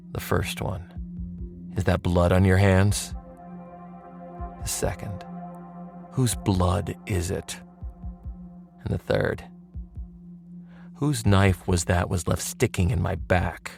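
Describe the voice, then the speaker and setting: A low-voiced, gravelly dead ex-convict narrating a noir radio play. He is wry and slangy, buttonholing the listener like an old pal. Music plays underneath. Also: darkish tone, heavily acted. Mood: coolly menacing. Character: sardonic dead ex-convict telling his own murder story. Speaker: actor playing the narrator of a radio mystery.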